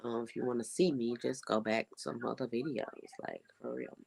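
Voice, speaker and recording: Stuffy, congested young adult vlogger with a gravelly voice; laid-back, rambling, lots of fillers. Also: medium pitch, steady tone, a little fast, darkish tone. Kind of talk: casual vlog update.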